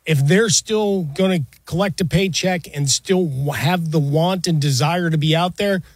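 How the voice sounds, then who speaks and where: A man's voice: a little high, unhurried, breathy edge, dry recording. Reflective man with a dry sound. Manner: reflective, nostalgic, with fillers and restarts. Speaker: sports podcast co-host. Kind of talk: casual sports talk.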